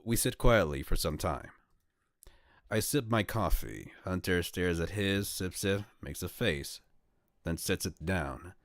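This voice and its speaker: Very deep-voiced man reading a story. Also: lively intonation, measured pace, dry recording, studio quality. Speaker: VTuber streamer reading a visual novel. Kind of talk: first-person visual novel narration.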